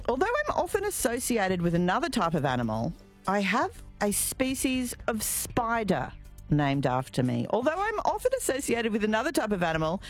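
An Australian woman hosting a casual morning radio show, chatting and reading out listener texts. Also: medium pitch. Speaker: radio host.

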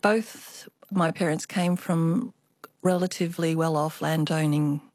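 A woman artist being interviewed, telling family stories in a reflective, unhurried way. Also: low-pitched, fairly even intonation, darkish tone, no echo, studio quality. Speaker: guest, artist. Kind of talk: radio interview storytelling.

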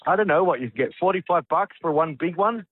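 A man's voice: on the high side, expressive, brisk, slightly rough, no room sound. Jokey man on a tinny phone line. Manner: jokey, laughing. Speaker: radio presenter on location. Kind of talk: casual radio chat.

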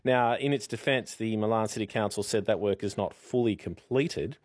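A man's voice: on the low side, normal speed, a little mellow, no room sound. A man presenting news on radio, telling a light story playfully with many ums. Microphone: studio microphone.

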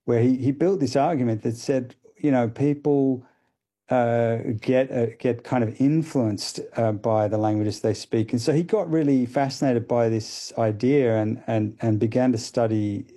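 A man explaining ideas as a radio guest, thoughtful and hesitant, with many pauses and fillers. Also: mid-range voice, dark tone. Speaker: guest expert.

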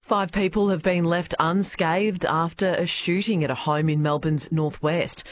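An Australian woman reading a radio news bulletin in a crisp, measured way. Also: sits low. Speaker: newsreader.

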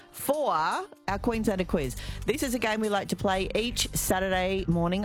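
An Australian woman hosts talkback radio from a studio mic, her voice swinging widely in pitch as she chats with callers. She sits at a mid pitch for a woman and reads numbers and clues in a friendly way. Soft music plays faintly underneath. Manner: chatty, lively, reads phone numbers and clues aloud. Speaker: radio host.

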